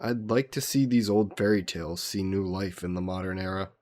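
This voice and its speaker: A man playing a gentle, wistful immortal vampire scholar in an intimate audio roleplay, courteous and reflective, musing with hesitant pauses. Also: deep voice, lightly acted. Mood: playful teasing.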